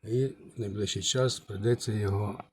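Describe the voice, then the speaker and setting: A man giving a casual hands-on review as a channel host, halting and thinking aloud, muttering remarks to himself. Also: on the low side, steady tone, slight background noise. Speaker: channel host, radio repairer.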